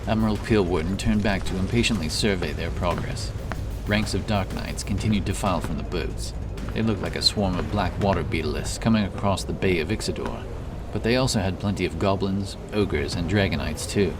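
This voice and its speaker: Deep-voiced male fantasy narrator. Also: fairly quick, music in the background. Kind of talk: dramatic fantasy audiobook narration. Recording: clear background ambience under voice.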